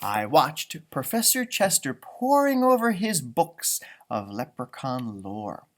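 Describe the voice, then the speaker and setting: A man's voice: medium pitch, slow pace. A man reading a children's story aloud, adding chatty, improvised asides about the pictures. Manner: animated, chatty commenting on pictures. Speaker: storybook reader.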